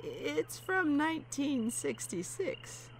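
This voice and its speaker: A wary elderly widow speaks slowly, her voice rising and falling in a lively, fairly high tone, as a woman acts her out. She stays polite but guarded, as in an audio drama. Faint room ambience sits behind her. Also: a little hiss or hum, full character voice. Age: older. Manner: polite, wary, slow and lilting. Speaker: homeowner.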